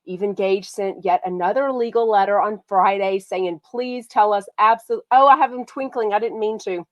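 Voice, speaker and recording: A woman from Texas, hosting a casual gossip livestream. She has a slight cold that makes her sound nasal, and she talks in a bubbly, excitable way. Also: medium pitch, normal speed, no echo. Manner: bubbly and excitable, lots of "like" and "oh my gosh". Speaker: podcast host.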